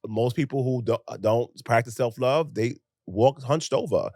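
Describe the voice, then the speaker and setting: A young American man co-hosting a podcast in casual chat, talking in an animated, emphatic way with rhetorical questions. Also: mid-range voice, measured pace, dry recording, studio quality. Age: young adult. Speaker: podcast co-host.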